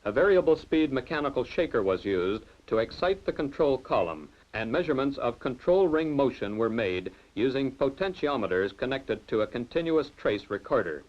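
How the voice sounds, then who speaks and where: A man gives measured, formal narration for a technical film, his voice sitting at an ordinary middle pitch. The sound is dull and lo-fi, like an old film soundtrack. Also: very animated. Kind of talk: scripted documentary narration.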